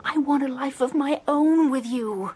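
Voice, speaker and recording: An actress playing a desperate, determined young wife, earnest and pleading, in a radio drama. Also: female voice, sits high, unhurried, darkish tone. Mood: desperate, resolute.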